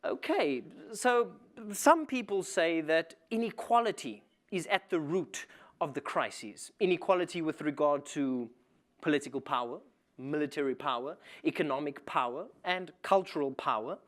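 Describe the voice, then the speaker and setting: A man's voice: fairly high pitch, expressive, normal speed, a bit bright. A young man playing a persistent interviewer. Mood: probing. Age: young adult. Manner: pointed, probing questions. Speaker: interviewer in a stage play. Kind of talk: live stage play acting.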